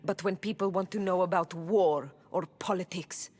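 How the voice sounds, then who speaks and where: A woman playing a guarded, troubled oracle of ancient Greece in a video game, speaking solemnly and deliberately. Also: lowish voice, darkish tone, breathy edge. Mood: grave. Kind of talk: dramatic video game acting.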